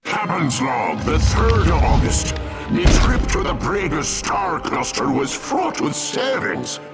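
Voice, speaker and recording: Swaggering, vengeful pirate captain for a game, fairly high voice, slow grandiose delivery. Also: male voice, big pitch swings, heavily acted. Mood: boastful. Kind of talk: theatrical captain's log narration. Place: studio voice recording. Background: loud music.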